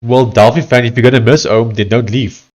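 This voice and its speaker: A man's voice: medium pitch, steady tone, darkish tone. A man from South Africa with an Afrikaans accent, chatting casually on a livestream and reading messages and text aloud. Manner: conversational, reads text aloud haltingly. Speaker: livestreaming coder.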